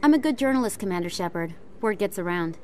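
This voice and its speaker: An actress playing an earnest, polite and persuasive investigative journalist in a sci-fi video game. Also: female voice, mid-range voice, lively intonation, fast, darkish tone, a little hiss or hum, natural voice. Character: eager, persuasive female investigative journalist on a space station. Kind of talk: video game dialogue acting.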